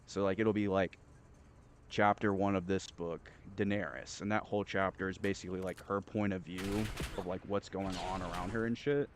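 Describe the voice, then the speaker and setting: A man chatting casually as he streams a game, rambling and repetitive in a halting, slangy way full of filler words. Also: sits low, fairly even intonation. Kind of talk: casual rambling chat while gaming. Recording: gunfire and game noise behind. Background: video game gunfire and clicks.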